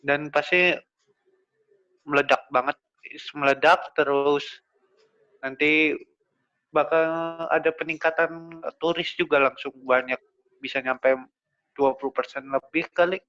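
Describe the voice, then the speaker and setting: A young man, a guest answering in a casual chat with hesitant, halting phrases. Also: fairly high pitch, fairly even intonation, bright, forceful tone. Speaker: podcast guest. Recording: dull lo-fi recording.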